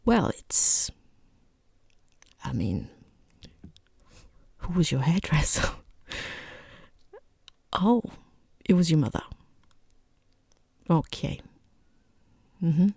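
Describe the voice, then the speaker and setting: A person voicing a rude, condescending boss in a soft-spoken ASMR roleplay, with a breathy half-whisper, hesitant pauses and dryly mocking, falsely polite understatement. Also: medium pitch, very animated, dry recording, full character voice. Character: rude, condescending boss conducting a job interview.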